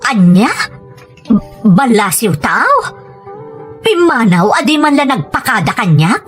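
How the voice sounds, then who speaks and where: A woman's voice: fairly high pitch, big pitch swings, takes it slowly, soft background music. A woman playing a pleading, questioning mother who is struggling, in a family drama. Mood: surprised, questioning. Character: pleading, self-concerned adult daughter, a struggling mother. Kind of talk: dramatized Ilocano family story.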